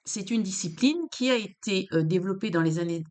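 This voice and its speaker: A woman's voice: mid-range voice, fairly quick, a little mellow. A woman from France, a psychopractitioner explaining psychology in an informal talk to camera, hesitant with many fillers and repeated words.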